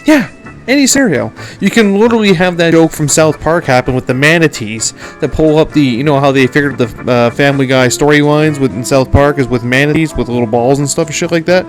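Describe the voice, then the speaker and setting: A man with a fairly high voice hosts a casual solo podcast, with some music around him. He is from Canada and talks in an informal, rambling way about pop culture. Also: fast talker, hardly any pauses. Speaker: podcast host.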